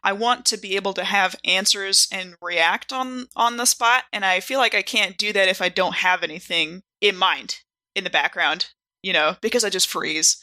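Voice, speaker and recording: A woman's voice: mid-range voice, moderate pace, bright, forceful tone, no echo. A woman podcast player chatting casually, giggly and fond of saying "like". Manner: giggly, peppers talk with "like". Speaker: player on an actual-play podcast. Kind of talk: casual chat.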